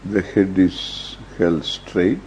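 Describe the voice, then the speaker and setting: Very slow, low-voiced older Indian man. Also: fairly even intonation, a little mellow. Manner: measured, halting short phrases with long pauses. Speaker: meditation teacher, swami. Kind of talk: guided meditation, slow instruction. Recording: dull lo-fi recording with faint hum.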